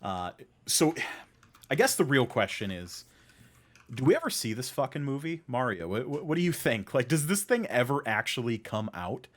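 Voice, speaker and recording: A man's voice: mid-range voice, big pitch swings, moderate pace. A man hosting a podcast in casual conversation, speaking informally and with emphasis. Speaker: podcast host. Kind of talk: casual chat.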